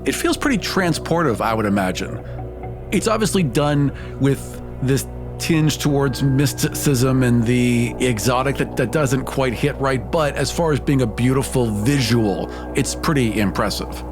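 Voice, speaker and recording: A man's voice: mid-range voice, expressive, unhurried. A man playing a tabletop game, improvising in a casual, reflective, conversational way. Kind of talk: casual improvised role-play chat. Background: music.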